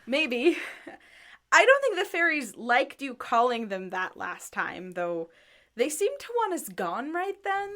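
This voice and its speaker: A woman playing an excitable, chatty wandering musician, eager and dramatic, in a radio drama. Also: sits high, slow, full character voice. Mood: curious.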